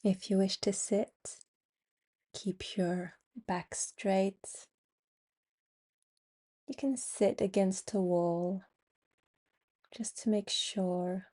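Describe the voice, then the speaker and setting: A woman guiding a yoga nidra meditation, giving soothing, unhurried instructions with long pauses between phrases. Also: medium pitch, steady tone, mellow. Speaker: meditation guide and podcast host.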